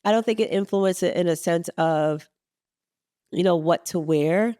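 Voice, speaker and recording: A woman from Suriname co-hosting a podcast in casual chat, affirming and measured. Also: lowish voice, mellow, clear, resonant tone, no echo, clean studio sound. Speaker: podcast co-host.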